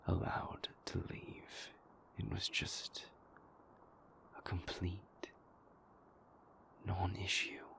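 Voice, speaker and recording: A man playing a brooding, weary vampire in an intimate audio roleplay, with a breathy, creaky voice and halting, deliberate, formally worded confessions. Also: low-pitched, a bit bright, full character voice. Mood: hurt, bitter. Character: brooding, weary male vampire, a king's conflicted enforcer and lover.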